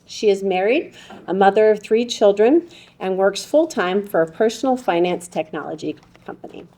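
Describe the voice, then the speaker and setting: A woman's voice: medium pitch, lively intonation, moderate pace. A woman moderating a conference panel, opening with loose remarks full of ums before introducing the first speaker. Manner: warm-up remarks with frequent ums.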